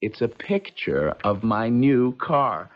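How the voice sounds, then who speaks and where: An actor playing a breezy, scheming young American idler in a 1970s radio drama. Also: male voice, mid-range voice, lively intonation, slow. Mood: excited boasting. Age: young adult. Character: idle, spendthrift young playboy nephew, breezy and scheming. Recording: tinny band-limited old radio broadcast.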